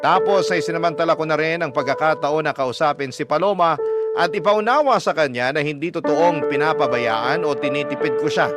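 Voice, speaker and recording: Confessional male narrator over loud music bed. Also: on the high side. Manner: confessional, addressing the host. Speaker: letter narrator. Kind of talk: scripted narration.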